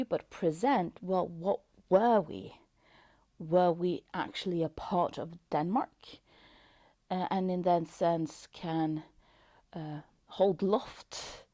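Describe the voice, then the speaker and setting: Norwegian woman rambling about books, slow and halting, lots of ums, low gravelly voice, dry room. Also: mellow, no echo. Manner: halting, many ums and uhs, drifts to whispery asides. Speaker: booktube host. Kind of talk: casual book review vlog.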